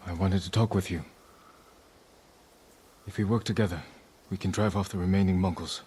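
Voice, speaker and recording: A man's voice: sits low, steady tone, normal speed, mellow, a little hiss or hum, close to an everyday voice. A man playing a solemn, resolute samurai warrior in a dramatic video game. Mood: resolute, sorrowful. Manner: solemn, restrained, earnest. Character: stoic, resolute samurai warrior, loyal and duty-torn. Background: cinematic score.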